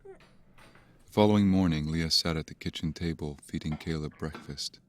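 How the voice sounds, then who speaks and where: Very deep-voiced horror narrator. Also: male voice, normal speed, a little hiss or hum. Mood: ominous, calm. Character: grave storyteller narrating an unsettling domestic horror tale. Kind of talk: scripted audio drama narration.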